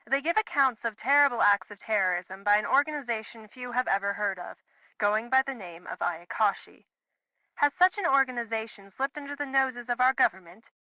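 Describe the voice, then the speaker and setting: A woman playing a brisk, probing news reporter in an audio drama. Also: on the high side, bright, forceful tone, no room sound, low-quality recording.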